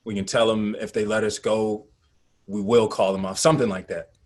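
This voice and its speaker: A man acting in a table read as a pragmatic, commanding activist leader, speaking in a measured, matter-of-fact way. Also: lowish voice, little pitch movement, darkish tone, close to an everyday voice. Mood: calculating. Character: pragmatic, commanding male activist leader Gus, planning a bluff.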